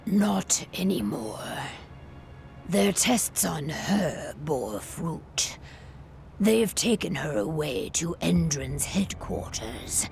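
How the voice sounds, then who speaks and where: A woman playing a grave, proud, wary pack leader in a video game, with a breathy, gravelly voice and solemn delivery. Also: low voice, sing-song, darkish tone, soft background music, heavily acted. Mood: weary, grave. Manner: deliberate, halting, solemn. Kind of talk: video game cutscene acting.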